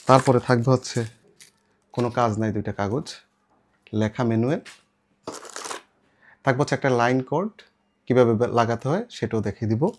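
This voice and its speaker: A man's voice: mid-range voice, normal speed, pauses often. Bangladeshi man demonstrating, noisy recording. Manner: instructional, step-by-step, mixing in English words. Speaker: shop seller presenting products. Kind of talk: informal product demonstration. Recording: clear background noise with clicks.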